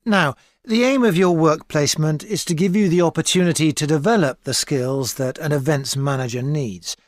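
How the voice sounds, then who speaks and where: An actress playing an experienced tutor advising a student in a scripted exam dialogue, calm and measured. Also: female voice, deep voice, no room sound, lightly acted. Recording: dry studio recording.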